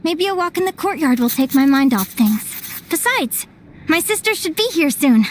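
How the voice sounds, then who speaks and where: A woman playing a sweet, wistful young woman in a video game, gentle and hesitant in her delivery. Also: sits high, animated, normal speed, slight background noise. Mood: wistful, weary. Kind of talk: video game story voice acting.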